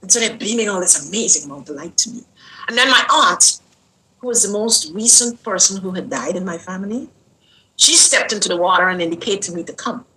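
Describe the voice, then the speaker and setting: An older woman from Guyana, an interview guest telling a personal story in an earnest, unhurried way that lingers on words. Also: medium pitch, expressive, pushed and bright, poor audio.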